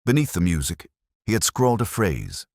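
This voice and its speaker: A man reading a scripted first-person mystery story in a reflective, solemn, deliberate, melancholy manner. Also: lowish voice, big pitch swings, a little mellow, no echo, clean studio sound. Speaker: narrator of a detective mystery story.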